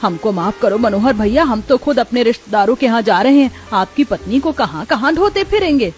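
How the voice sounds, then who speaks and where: A woman's voice: a little high, lively intonation, a little fast, close to an everyday voice. A woman voicing a brusque, selfish village wife who is scolding and dismissive in a story. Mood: scornful.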